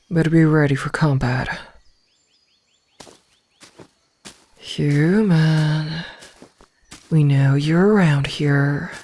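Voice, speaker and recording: A woman voicing a possessive, coolly menacing female werewolf omega in an ASMR roleplay, speaking formally and deliberately. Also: deep voice, a little mellow, heavily acted. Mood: commanding, wary. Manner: slow, deliberate, formal, with breathy whispered asides.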